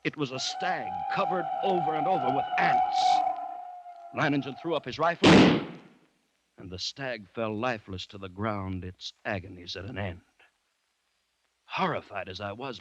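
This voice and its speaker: An actor playing an anxious, grave commissioner who recounts a jungle siege in a dramatic 1940s radio style. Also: male voice, mid-range voice, muffled recording, background noise, close to an everyday voice. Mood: tense. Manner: dramatic, measured storytelling with tense dialogue. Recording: vintage 1940s radio recording, loud music.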